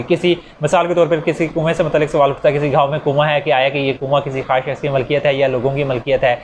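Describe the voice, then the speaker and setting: Higher-voiced Pakistani male law lecturer, noisy recording. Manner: switches into English legal terms, reading statutes slowly. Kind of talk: explanatory lecture with examples. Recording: clear background noise.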